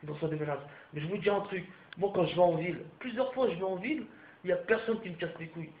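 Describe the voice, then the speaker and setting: A young man rants straight at his audience in a heated, repetitive way, full of crude slang. His voice sits a bit high for a man. The recording sounds roomy and muffled, with a faint hiss behind it. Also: fast talker. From France. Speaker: vlogger. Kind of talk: ranting monologue to camera.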